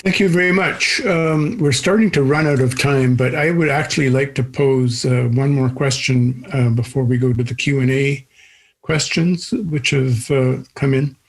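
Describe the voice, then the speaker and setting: A man moderating a panel discussion, measured and pausing between thoughts. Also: medium pitch, low-quality recording.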